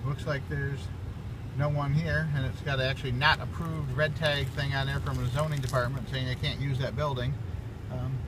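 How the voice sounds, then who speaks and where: A man's voice: medium pitch, level tone, normal speed. A man casually narrating a walking tour of an abandoned factory, explaining what he sees in a matter-of-fact way. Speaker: vlogger exploring abandoned sites. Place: outdoors at an abandoned factory lot. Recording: outdoor recording with loud wind and traffic noise.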